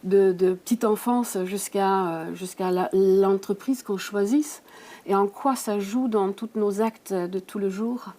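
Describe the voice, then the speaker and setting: A woman at a conference interview speaks very slowly and gropes for her words, pausing on many fillers. Her voice has a soft, mellow tone. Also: medium pitch, fairly even intonation. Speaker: coach.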